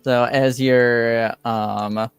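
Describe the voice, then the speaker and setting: A man improvising narration as game master of a tabletop game, halting and searching for words over music. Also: mid-range voice, fairly even intonation, smooth, dry recording. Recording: music playing behind the voice.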